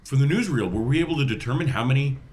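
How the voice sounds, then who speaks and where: A man's voice: medium pitch, animated, racing, a little mellow. A man in casual table chat, speaking as a player in a tabletop roleplaying game.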